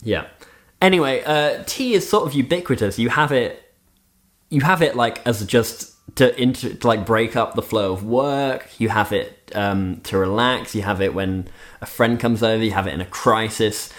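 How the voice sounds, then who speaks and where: A man with an English accent who grew up in Switzerland, chatting casually as a podcast co-host, animated and often laughing through his restarts. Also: mid-range voice, moderate pace. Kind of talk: casual chat.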